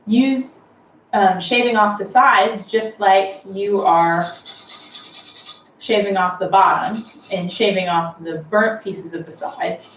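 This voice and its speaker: A woman hosts a casual home baking demonstration in a mid-pitched voice, taking her time as she explains. She is chatty and a little self-deprecating. The recording is roomy, with clear noise behind her. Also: slow. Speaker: host of a home baking tutorial. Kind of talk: casual unscripted how-to demonstration. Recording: roomy, distant mic with clear background noise.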